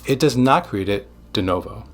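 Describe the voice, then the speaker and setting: A man giving scripted educational narration as a psychiatrist, speaking in short, deliberate, emphatic phrases. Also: lowish voice, expressive, a little hiss or hum. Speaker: psychiatrist presenting an explainer video.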